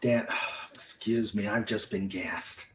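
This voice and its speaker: Slow, halting man hosting a chat. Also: on the low side, background noise. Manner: slow, halting, with many fillers. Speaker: host. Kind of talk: casual livestream chat.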